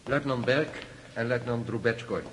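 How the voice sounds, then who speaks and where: An actor playing a courteous, reserved young army lieutenant in a radio play, polite and a little hesitant. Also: male voice, mid-range voice, moderate pace, noisy background, lightly acted. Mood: surprised, tender. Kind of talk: radio drama acting.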